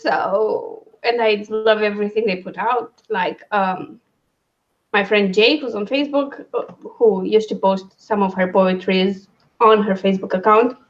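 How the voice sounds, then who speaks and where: A woman hosting a casual livestream book discussion, thinking aloud in halting, broken phrases. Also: mid-range voice. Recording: dull, lo-fi sound.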